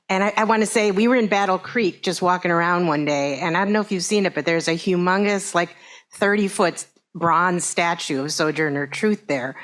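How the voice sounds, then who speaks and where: A woman's voice: low voice, fairly bright tone. A woman in her sixties giving an informal personal talk to a congregation in a conversational, discursive way. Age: 60s. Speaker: congregation speaker.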